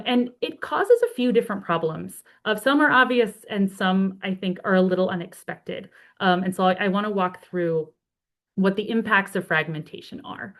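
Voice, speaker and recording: Slightly hoarse woman presenting over a video call. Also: mid-range voice. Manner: friendly, talks freely with many ums and uhs. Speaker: conservation advocate presenting a report. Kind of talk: webinar presentation.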